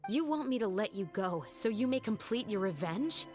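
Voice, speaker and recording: An actress playing a stern, dutiful police captain in a video game, composed, businesslike and direct. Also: female voice, mid-range voice, lively intonation, normal speed, music in the background, lightly acted. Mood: challenging.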